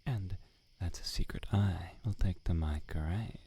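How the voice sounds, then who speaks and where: A man's voice: low voice, a little slow, warm tone, a little hiss or hum. A man playing a prickly, irritable village swordsmith, in whispered, sarcastic roleplay ASMR. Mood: lecturing. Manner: whispered, exasperated, sarcastic scolding. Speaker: ASMR voice actor.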